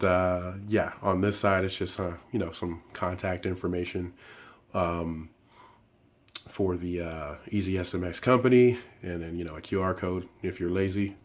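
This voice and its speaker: Deep, hoarse man rambling through a review. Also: fairly even intonation, normal speed. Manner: rambling, lots of fillers and restarts. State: hoarse, voice worn from the flu. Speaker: tech reviewer and channel host. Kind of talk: casual unboxing and review talk.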